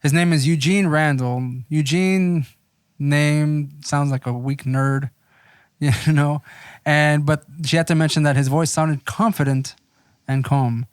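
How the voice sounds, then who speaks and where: A man's voice: mid-range voice, darkish tone, smooth, dry recording, studio quality. A man co-hosting a casual podcast, reading from an article and commenting on it. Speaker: podcast co-host.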